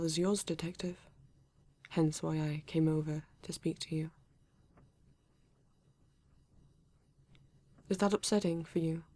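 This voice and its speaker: A woman with a British accent playing a formal, reserved male android detective in an ASMR roleplay, speaking stiffly and deliberately with halting pauses. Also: sits low, mellow. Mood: formal, calm. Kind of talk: ASMR roleplay addressed to the listener.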